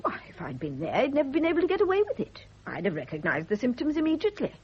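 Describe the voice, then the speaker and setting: An actress playing a bitter, righteous, suspicious nurse, indignant and insinuating, in a radio drama. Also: female voice, high-pitched, huge pitch swings, quick pace, husky, full character voice. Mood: righteous accusation. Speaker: private nurse. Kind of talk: 1970s radio drama acting. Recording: dull lo-fi old radio recording.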